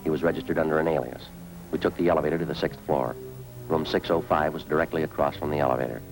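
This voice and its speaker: A man's voice: very deep voice, fairly even intonation, quick pace, over loud music, low-quality recording. A man playing a hard-boiled, weary police sergeant in a radio crime drama, with clipped, matter-of-fact delivery. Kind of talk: 1950s radio police drama acting and narration.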